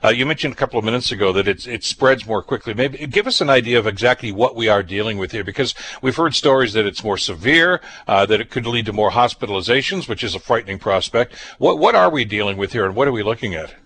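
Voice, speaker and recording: A man's voice: lowish voice, lively intonation, quick pace, few pauses, a bit bright, breathy edge. A man hosting a broadcast interview, putting wordy, roundabout questions in a conversational way. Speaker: radio host interviewer.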